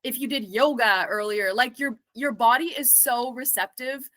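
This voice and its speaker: Brief: woman vocalist in interview, bright ringing tone, loose talky delivery with lots of fillers. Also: fairly high pitch, normal speed. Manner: chatty and reflective, many "like" fillers. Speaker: singer and self-producing recording artist. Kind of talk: casual interview answers.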